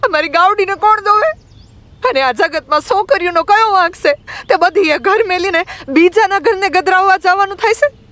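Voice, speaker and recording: A woman voicing a fierce, tearful thirteen-year-old village girl in an audiobook drama, with a rural Gujarati accent and loud, indignant questioning. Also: extremely high pitch. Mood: indignant, pleading. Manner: loud, indignant, rapid-fire rhetorical questions.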